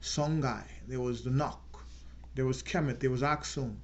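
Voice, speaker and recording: A man hosting his own channel, giving an earnest unscripted political talk and slowing down to stress key words. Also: medium pitch, fairly even intonation, highs cut off. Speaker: channel host.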